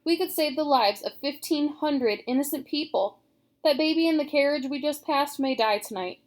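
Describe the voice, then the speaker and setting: A woman's voice: high-pitched, normal speed, lightly acted. A woman reading aloud, voicing a thoughtful, caring young girl who speaks earnestly. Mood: persuasive.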